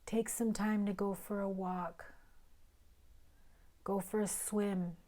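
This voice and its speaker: A woman doing a tarot reading for her viewers, her voice raspy and hoarse while she recovers, speaking earnestly and sometimes dropping to a whisper. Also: medium pitch, little pitch movement, slow pace, warm tone. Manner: earnest and emphatic, drops to whispers, occasional cussing. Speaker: tarot reader.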